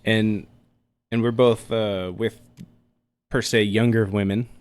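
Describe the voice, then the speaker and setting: A man's voice: fairly low pitch, flat delivery, slow pace, clear, resonant tone. A man in his thirties co-hosting a podcast, chatting casually in a teasing, joking way. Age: 30s. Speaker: podcast co-host. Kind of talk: casual chat.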